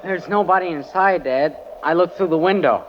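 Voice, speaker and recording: An actor playing an eager, good-natured teenage son in a 1940s radio comedy. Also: male voice, sits high, moderate pace, highs cut off, background noise, lightly acted. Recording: old radio broadcast with live audience.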